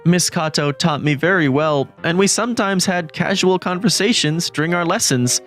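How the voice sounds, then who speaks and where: Guy doing an earnest lovestruck high school boy telling his manga story, measured, bit of music behind. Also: a bit bright, close to an everyday voice. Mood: earnest, reflective. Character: lovestruck, anxious, earnest teenage male high school student narrator. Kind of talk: scripted first-person manga story narration. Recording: background music under the voice.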